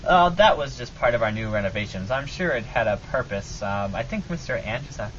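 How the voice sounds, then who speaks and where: A man's voice: mid-range voice, lively intonation, brisk, fairly bright tone, lightly acted. A man playing an earnest, hapless airship officer with stagey self-assurance in an amateur old-time radio comedy. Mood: earnest, sheepish. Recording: dull, noisy amateur radio recording.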